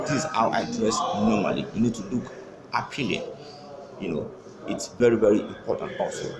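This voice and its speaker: A man, giving an earnest, emphatic sales training talk from an office, repeating his key points. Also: mid-range voice. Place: office with wallpaper, seated in leather chair. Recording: roomy office with noise.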